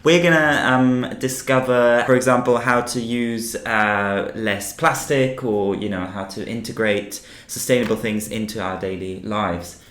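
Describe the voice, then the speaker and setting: A man originally from Austria co-hosts a relaxed chat podcast. He speaks at a middling pitch, pausing often with ums and starting sentences over. His voice bounces around a small, echoey office. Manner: hesitant, with many ums and restarts. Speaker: podcast co-host. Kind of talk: casual chat. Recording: echoey room.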